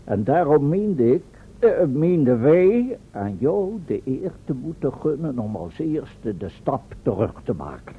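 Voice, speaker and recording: An actor playing a pompous, long-winded inventor professor who lectures formally and corrects himself, in a Dutch radio play. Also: male voice, medium pitch, sing-song, measured pace. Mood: solemn, persuading. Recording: tinny band-limited old radio recording, faint noise.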